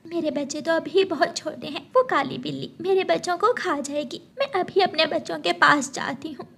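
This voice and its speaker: A woman's voice: very high voice, moderate pace, vocal fry, fairly bright tone, a little hiss or hum, exaggerated voice. A woman voicing a protective, worried mother bird, earnest and motherly, in a children's cartoon. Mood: worried, tender.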